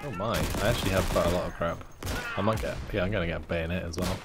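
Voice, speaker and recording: Low-voiced easygoing gamer man. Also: fairly even intonation, rapid-fire, very noisy. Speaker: gamer, teammate on voice chat. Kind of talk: casual gaming chat with a friend. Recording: gunfire and clicks behind the voice. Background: video game gunfire, explosions, clicks.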